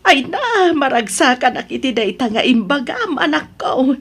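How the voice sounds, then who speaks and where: A woman acting a worried, caring elderly wife and mother with a husky voice in a radio drama. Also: high-pitched, big pitch swings, moderate pace, a bit bright, exaggerated voice. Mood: glad.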